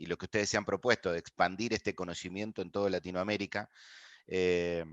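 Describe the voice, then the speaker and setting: A man from Argentina with an Argentine accent, giving a courteous, measured online masterclass as a guest speaker and often pausing on filler sounds over a video call. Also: lowish voice, a bit bright, no echo.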